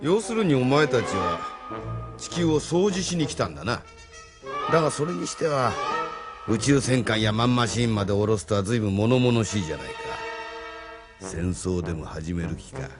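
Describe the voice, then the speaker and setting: A man's voice: lowish voice, animated, moderate pace, music in the background, lightly acted. An actor in a Japanese audio drama playing a sardonic, unruffled retired rebel in his seventies, speaking with blunt familiarity. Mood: sardonic, challenging. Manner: familiar, gruffly worldly, sardonic. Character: wry, unruffled 75-year-old retired male rebel activist.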